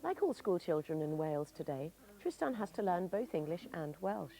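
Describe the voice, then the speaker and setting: A woman giving measured, formal, scripted narration for a documentary. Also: lowish voice, sing-song, vocal fry, warm tone, rough recording.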